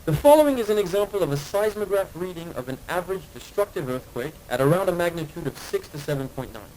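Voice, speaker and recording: A man's voice: high-pitched, expressive, fast talker, warm tone. A man playing an official archive announcer, reading scripted confidential narration formally for an analog horror film. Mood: formal, solemn. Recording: faintly noisy archive tape.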